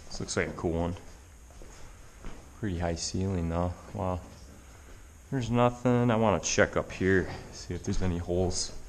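Low-pitched man, easygoing cave-exploring vlog chatter, faint background hiss. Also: moderate pace, pauses often. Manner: easygoing, chatty, thinking aloud. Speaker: vlogger exploring caves. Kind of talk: casual handheld exploration commentary. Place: inside a limestone cave.

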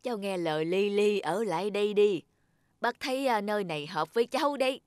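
A woman voicing a caring older cat in a children's cartoon, gently advising the young. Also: medium pitch, a little fast, clear, resonant tone, no room sound, clean studio sound, close to an everyday voice. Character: kindly older cat, caring auntly or uncle-like helper. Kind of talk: dubbed children's cartoon dialogue.

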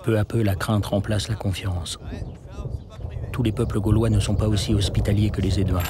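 A man narrating a scripted history documentary in a measured, serious way. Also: lowish voice, flat delivery, heavy background noise.

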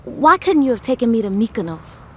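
An actress playing a demanding, sassy young diva in a video game, with loud noise behind her. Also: on the high side, sing-song, fairly quick, husky, exaggerated voice. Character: demanding, high-maintenance female singer diva. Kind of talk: video game cutscene acting.

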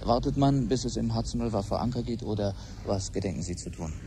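An actor playing a persistent, sceptical interviewer who questions formally and pointedly in a 1970s radio drama. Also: male voice, lowish voice, fast talker, dark tone, lightly acted. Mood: pressing, sceptical. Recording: 1970s radio production, faint background noise.

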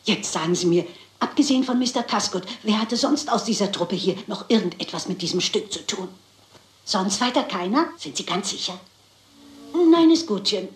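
Crime drama: woman as old lady sleuth, polite, sharp-witted, middle register, reverberant soundtrack. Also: mid-range voice, expressive, normal speed, natural voice. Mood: shrewd, businesslike. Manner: polite, composed, inquisitive. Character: shrewd, composed elderly lady amateur detective. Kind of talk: dubbed German crime drama acting.

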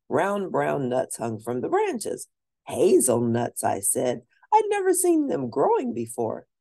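Female children's book narrator, low mellow register, highly animated and expressive read-aloud delivery. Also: creaky, no room sound, studio quality. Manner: animated, deliberate, lingering on key words. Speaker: storyteller reading a children's book.

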